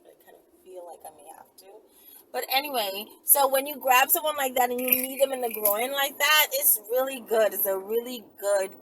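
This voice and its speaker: A woman's voice: medium pitch, a bit bright, poor audio. A woman talking casually in a rambling, conversational solo vlog, thinking aloud with emphatic phrasing. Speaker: vlogger. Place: inside a vehicle in traffic.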